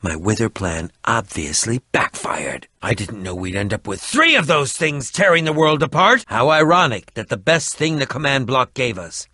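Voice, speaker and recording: An actor playing an eccentric middle-aged schemer in a video game, with a dramatic, haughty and defensive manner. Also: male voice, medium pitch, big pitch swings, normal speed, hardly any pauses, bright, forceful tone, no room sound, clean studio sound. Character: eccentric theatrical middle-aged male potion-brewing schemer. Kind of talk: video game character dialogue.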